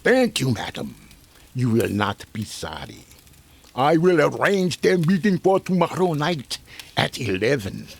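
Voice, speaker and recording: An actor playing a sinister, mercenary occult scientist in a 1950s radio mystery, formal, deliberate and ominous. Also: male voice, medium pitch, very animated, creaky, breathy edge, heavily acted. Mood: smooth, ominous.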